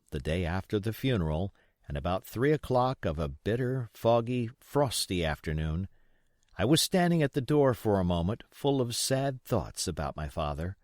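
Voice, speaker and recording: A man's voice: lowish voice, dry recording, clean studio sound. A man reading a classic adventure novel aloud as scripted literary narration. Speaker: audiobook reader.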